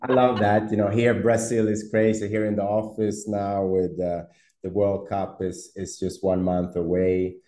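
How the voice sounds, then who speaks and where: A low-pitched Swedish man with a mellow, dark tone speaks as a guest in an interview. He hesitates often, stacking fillers and repeated words, and laughs easily. His voice carries an echoey room sound. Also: little pitch movement, unhurried. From Sweden. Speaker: startup CEO guest. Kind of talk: podcast interview conversation.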